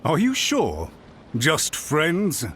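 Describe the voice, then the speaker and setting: A man's voice: on the high side, huge pitch swings, extremely slow, a bit bright, slight background noise, exaggerated voice. An actor playing a suspicious, deferential male retainer in a video game, formal and insistent in his speech.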